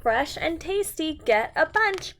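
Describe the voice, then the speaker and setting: A woman's voice: very high-pitched, animated, slow, fairly bright tone. A woman reading a picture book aloud to young children in a sing-song manner, speaking to them directly as a teacher would.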